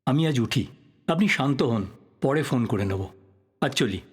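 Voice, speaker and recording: A man playing a thoughtful, polite writer probing a death in an audio drama, speaking in a measured, reflective way. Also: medium pitch, expressive, slightly rough. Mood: apologetic, musing. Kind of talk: Bengali audio drama acting.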